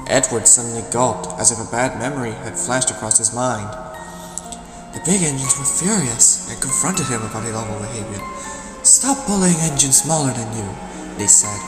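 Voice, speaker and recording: A male narrator tells a dark children's tale in a mid-pitched voice, pausing deliberately for drama. His voice rings with long reverb, and music plays loudly behind him. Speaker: storyteller, narrator. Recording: echoey, reverberant hall sound. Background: loud music.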